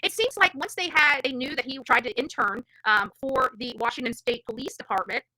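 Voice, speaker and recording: A woman's voice: high voice, brisk, sharp tone, no room sound, rough recording. A woman hosting a true crime livestream, telling stories and commenting informally in a brash, feisty, rambling way. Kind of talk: informal live commentary with storytelling and reading aloud.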